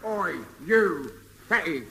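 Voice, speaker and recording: An actor playing a menacing escaped Cockney convict in an old British radio comedy. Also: male voice, high voice, lively intonation, slow, exaggerated voice. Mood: coolly menacing. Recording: hissy old radio recording, reverberant.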